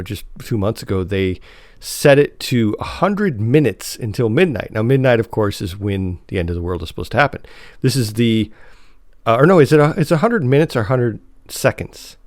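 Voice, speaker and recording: A man hosting a casual podcast chat, talking in a halting way with repeated words and restarts. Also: fairly low pitch, no room sound, clean studio sound. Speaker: podcast host and editor.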